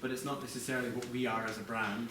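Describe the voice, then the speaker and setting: A man presenting product design live to a group, explaining in a halting way with corporate phrasing. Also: mid-range voice, fairly even intonation. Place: large echoey indoor venue, apparently a hotel. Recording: echoey noisy room, distant mic.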